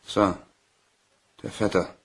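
An actor playing an easygoing, flirtatious office colleague in a crime radio play, husky and chummy. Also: male voice, slow pace, lightly acted. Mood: suspicious, hurt. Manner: teasing, chummy.

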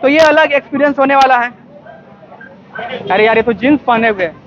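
A young man, his voice sitting very high, chats and teases his way through a fair vlog. He talks into a handheld mic outdoors, and the sound is dull and lo-fi with a loud crowd all around. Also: brisk, background noise. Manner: chatty, teasing, laughs often. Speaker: vlogger host. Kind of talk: lively on-the-spot fair commentary.

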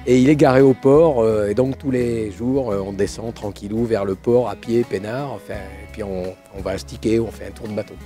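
Man in his 60s, hoarse throat, dark mellow tone, halting casual talk, faint music behind. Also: on the low side, fairly even intonation. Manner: hesitant, many fillers and restarts. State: hoarse from laryngitis. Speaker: car show host. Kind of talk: casual storytelling to camera.

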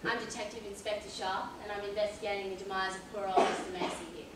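A woman playing a brisk, dry police inspector, polite but firm, in an amateur stage play. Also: mid-range voice, level tone, natural voice. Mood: dry, authoritative. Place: large theatre stage, indoors. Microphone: far camera. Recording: echoey theatre from far off, noisy.

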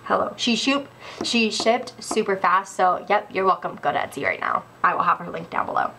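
A young woman showing sticker kits in a casual haul video, gushing and excitable, a little stuffy. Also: medium pitch, a little hiss or hum. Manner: gushing, excitable, laughs often. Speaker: planner sticker vlogger. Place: indoors at a wooden table.

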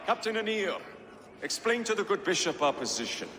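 A man's voice: high-pitched, very animated, a little fast, big-room sound, noisy background. An actor playing a proud, stern medieval king who speaks with haughty scorn. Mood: commanding. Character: proud stern male Welsh king, middle-aged.

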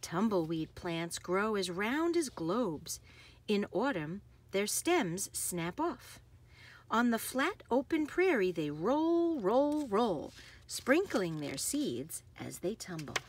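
A woman reads a children's picture book aloud expressively, with deliberate phrasing and emphasis on action words. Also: mid-range voice, sing-song, slight background noise. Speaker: read-aloud host.

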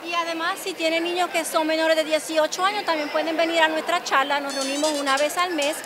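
A woman's voice: very high voice, fairly even intonation, fairly quick, music in the background. A woman representing a community organization, speaking in a friendly, informative way at an event. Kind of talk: event interview. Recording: clear crowd behind.

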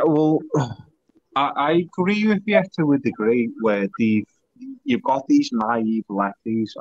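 A man on a panel call making forceful, declarative points. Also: on the low side, dry recording. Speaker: panel guest. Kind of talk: casual political chat. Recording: dry lo-fi call audio.

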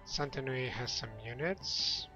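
Chatty young man streaming a game. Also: medium pitch. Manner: chatty, rambling, quick laughs. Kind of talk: casual let's-play chat with viewers. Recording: slightly dull lo-fi sound with game music.

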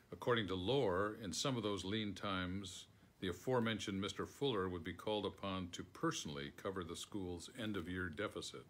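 An older man with an American accent reads a prepared text aloud. His voice sits low, and his delivery is measured. It is recorded indoors on a phone, as if at home. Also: fairly bright tone, slight background noise. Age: older, around 70. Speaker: foreword author reading aloud. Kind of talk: reading a written foreword aloud. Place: book-lined room at home, indoors.

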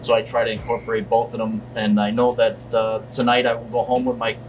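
A man in his thirties answering interview questions casually and haltingly, as a deli owner. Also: medium pitch, monotone. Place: indoors in a deli, seated at table. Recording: distant mic, loud hum of air conditioning.

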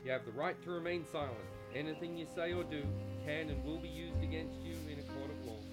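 Male actor as dutiful cop, fairly high voice, radio drama, music underneath. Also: steady tone, brisk, close to an everyday voice. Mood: reluctant, official. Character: gruffly dutiful male police officer.

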